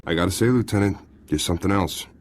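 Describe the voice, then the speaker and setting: An actor playing an easygoing, loyal military officer in a video game, chatty and candid in an informal way. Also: male voice, low voice, fast talker, close to an everyday voice. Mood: wry, candid. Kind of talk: video game character dialogue.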